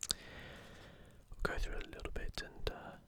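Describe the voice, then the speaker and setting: An ASMR host speaking entirely in an unhurried, gentle whisper, presenting to viewers. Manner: whispering throughout. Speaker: video host.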